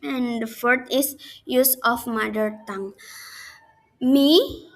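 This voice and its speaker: A young woman with an Indonesian accent giving a class presentation in halting non-native English, restarting her sentences. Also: a little high, fairly even intonation. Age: young adult. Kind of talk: class presentation on speaking skills.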